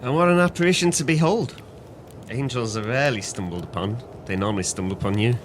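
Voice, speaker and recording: An actor playing a drunken, trusting former sailor with a merry, sentimental, florid manner in an audio play. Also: male voice, mid-range voice, fast, background noise, lightly acted. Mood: merry, grateful.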